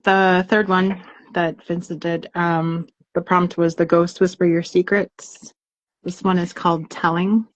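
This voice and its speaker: A woman's voice: on the low side, little pitch movement, unhurried, muffled recording. A woman co-hosting a poetry reading, talking casually and expressively as she searches for her words.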